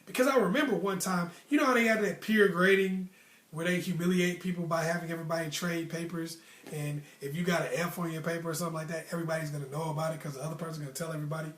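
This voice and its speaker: High-pitched American man telling stories, echoey room. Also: racing. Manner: animated, emphatic, slangy. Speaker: storytelling host. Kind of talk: casual personal storytelling with motivational advice. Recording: roomy, echoey sound.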